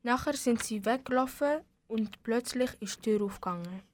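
A young female narrator tells an amateur radio play. Her voice is somewhat high, with a Swiss German accent. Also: normal speed.

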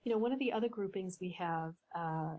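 A woman coach, a podcast guest, explaining ideas in a measured way with some fillers. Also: on the low side, dark tone, highs cut off. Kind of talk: podcast interview.

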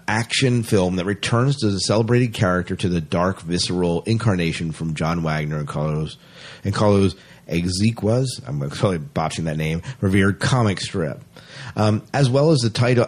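Deep husky guy on a podcast, reads news then chats, pretty into it. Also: a little mellow. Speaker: podcast co-host reading entertainment news. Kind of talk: casual podcast chat mixed with read-out news.

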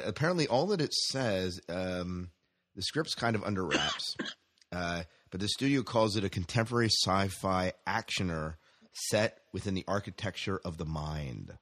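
A man co-hosting a casual podcast chat about science fiction, halting and repeating his phrases as he steers the talk. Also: low voice, lively intonation, fairly bright tone. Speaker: podcast co-host.

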